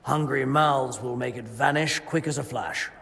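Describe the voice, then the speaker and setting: An actor playing a fussy, stingy monk who guards monastery food stores in a video game, speaking in a grudging, formal, pious way. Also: male voice, mid-range voice, moderate pace, gravelly, fairly bright tone. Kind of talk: video game cutscene acting. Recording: long reverb, music behind.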